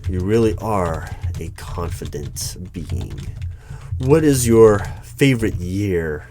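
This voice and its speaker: A man playing a wary, polite hiring manager in a one-sided ASMR interview roleplay, speaking in a hesitant, deadpan way. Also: low voice, takes it slowly, heavily acted. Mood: polite, bemused. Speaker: roleplay performer. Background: computer keyboard typing and clicks.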